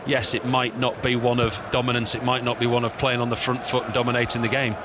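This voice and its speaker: A man's voice: medium pitch, little pitch movement, fast talker. A man working as co-commentator, giving live, explanatory match analysis and restating his points, with stadium ambience behind. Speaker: co-commentator and pundit. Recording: clear ambient noise behind.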